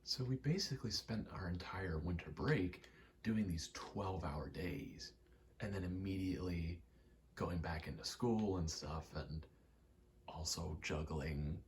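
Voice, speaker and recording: A young man giving a soft-spoken, halting, reflective ASMR ramble, telling personal stories in a hushed voice close to the mic. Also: low voice, a little hiss or hum. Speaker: ASMR creator.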